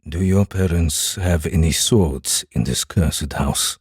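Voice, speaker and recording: An actor playing a gruff, short-tempered samurai in an audiobook, terse and imperious, with a growling voice. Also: male voice, low voice, takes it slowly, darkish tone, no room sound, studio quality, full character voice. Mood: commanding. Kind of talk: audiobook character acting.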